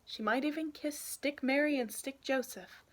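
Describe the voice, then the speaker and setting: A young adult woman reading a picture book aloud expressively, in an animated way, for young listeners. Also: sits high, normal speed. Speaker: read-aloud storyteller, youth intern.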